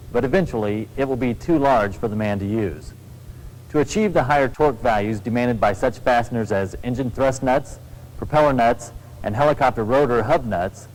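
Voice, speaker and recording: An American man giving scripted training film narration in a formal, deliberate, matter-of-fact way. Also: mid-range voice, a little mellow, low-quality recording.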